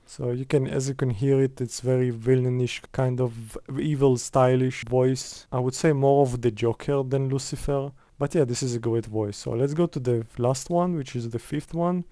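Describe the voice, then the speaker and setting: A man's voice: mid-range voice, fairly even intonation, normal speed, hardly any pauses, warm tone, no echo. A man presenting a casual step-by-step screen tutorial in a conversational way.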